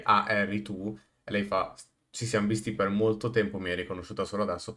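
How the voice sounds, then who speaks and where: A man giving casual reaction commentary, halting and repeating words for emphasis. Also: fairly low pitch, a bit bright. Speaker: streamer reacting to a game trailer. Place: small room indoors.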